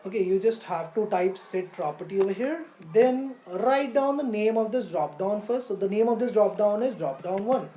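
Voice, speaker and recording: Mellow woman teaching, roomy sound. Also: medium pitch, normal speed, few pauses, slight background noise. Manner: instructional, poses questions then answers them, checks with "right?". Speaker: coding teacher. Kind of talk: live online coding lesson, step-by-step explanation.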